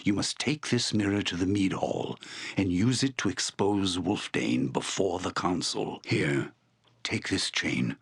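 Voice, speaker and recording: A noble fantasy-game shaman with a very deep, husky voice. He speaks slowly and formally, with solemn gravity and broad swells of intonation. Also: heavily acted. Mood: solemn, purposeful. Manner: solemn, formal, deliberate delivery. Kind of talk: dramatic fantasy video game voice acting.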